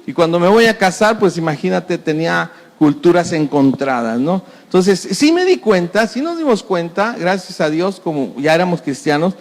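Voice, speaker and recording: A middle-aged pastor preaches in a fairly high voice. He speaks conversationally and repeats himself often. The sound carries the long echo of a church hall. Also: sing-song, moderate pace, few pauses. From Mexico. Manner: conversational, with frequent repetitions and folksy sayings. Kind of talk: sermon.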